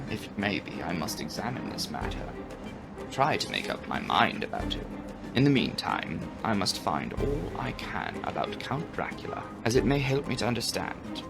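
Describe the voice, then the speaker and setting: Animated, gravelly man reading dramatically. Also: medium pitch, rough recording. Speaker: audiobook narrator and channel host. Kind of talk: dramatic audiobook reading of a classic novel.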